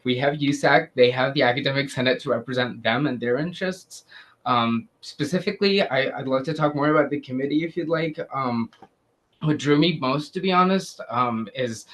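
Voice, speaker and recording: An earnest young man from Syria answers questions before a student committee. He speaks with frequent pauses and fillers at a middling pitch. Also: a bit bright. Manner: hesitant, many "um"s, earnest. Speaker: student applicant.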